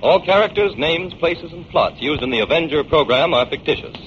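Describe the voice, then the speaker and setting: A male radio announcer from the 1940s delivers scripted lines formally and declaims them. His pitch sits a little high. The old recording is muffled and clearly noisy. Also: lively intonation, fairly quick, fairly bright tone.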